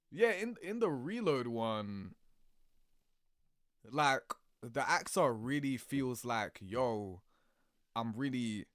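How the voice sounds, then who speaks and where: A man's voice: mid-range voice, a bit bright, no room sound, studio quality. A young man giving casual, slangy reaction commentary as a gaming host, with swearing and verbal tags. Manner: slangy, swearing, repeats "you know what I mean".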